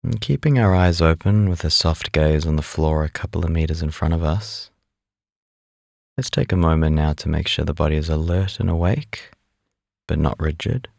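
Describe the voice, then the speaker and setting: A man leading a guided meditation as an instructor, speaking in a calm, unhurried, instructive way. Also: very deep voice, creaky, no room sound, studio quality.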